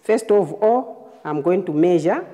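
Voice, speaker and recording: A woman nurse giving a hands-on clinical demonstration, explaining phrase by phrase in a halting way. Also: fairly low pitch, lively intonation, mellow. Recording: reverberant room, long echo.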